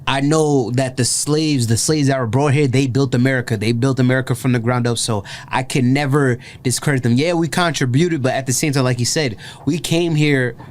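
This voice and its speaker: A man's voice: medium pitch, steady tone, a little fast, hardly any pauses. Rambling casual male host. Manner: rambling, heavy with "like" and "yo", restarts often. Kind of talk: casual reaction chat.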